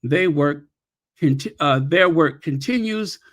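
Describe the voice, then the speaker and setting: A man's voice: a little high, expressive, slow pace. A man hosting a royal-news channel, chatting casually and reading a statement aloud, rambling and often correcting himself. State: losing his voice.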